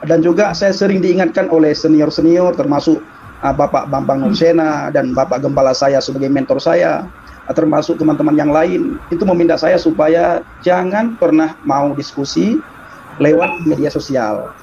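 A man's voice: fairly high pitch, fairly even intonation, moderate pace, dark tone. A man, giving an earnest, repetitive personal testimony on a video call, restarting his sentences and often addressing the host. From Aceh, Indonesia. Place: small room indoors. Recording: dull lo-fi video call, faint background noise.

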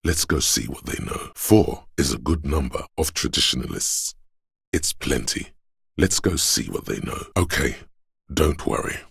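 A menacing, brooding inner voice from a video game, speaking from the very bottom of the male register. The delivery is slow and solemn, thick with gravelly creak. It coaxes and commands like dramatic narration, gruff and deliberate. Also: animated, a bit bright, dry recording, studio quality, heavily acted. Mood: reassuring, solemn. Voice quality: very deep, gravelly, husky rasp. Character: gruff, menacing inner voice of a man's body, reactionary, coaxing.

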